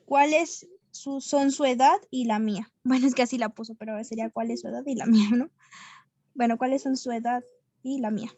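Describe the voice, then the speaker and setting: A woman student answering her tutor and reading a problem aloud slowly and hesitantly. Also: fairly high pitch, steady tone, mellow.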